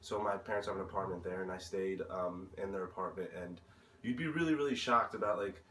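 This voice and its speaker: Low-voiced young American man, hesitant, in a roomy space. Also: pushed and bright. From United States. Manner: hesitant, halting, searching for words. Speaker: interviewee. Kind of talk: informal interview answers. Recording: roomy, distant mic, faint noise.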